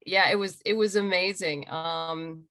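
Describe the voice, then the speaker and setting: A woman's voice: on the low side, fairly even intonation, measured pace, fairly bright tone, smooth, no room sound. Enthusiastic woman chatting on a video call. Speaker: interview guest, comic book writer and creator. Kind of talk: casual interview chat. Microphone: video call microphone.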